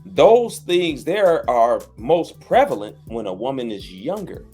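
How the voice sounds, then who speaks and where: A man at a middle pitch hosts a podcast in casual chat. He lays out his views plainly, like a lecture, using loose dialect grammar. Faint music sits in the background. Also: very animated, unhurried, mellow, slightly rough. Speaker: podcast host.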